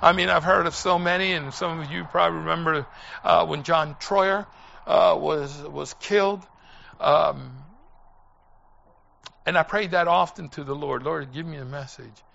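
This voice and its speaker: An older man from Puerto Rico preaching through personal testimony in an earnest, halting way, with pauses and repeated phrases. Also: on the high side, steady tone, vocal fry, bright, forceful tone, lo-fi sound. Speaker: preacher and former missionary.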